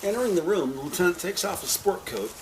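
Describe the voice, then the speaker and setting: A man narrating a crime audio drama with scripted, descriptive storytelling in a deliberate, literary manner. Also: high-pitched, lively intonation, slight background noise, rough recording.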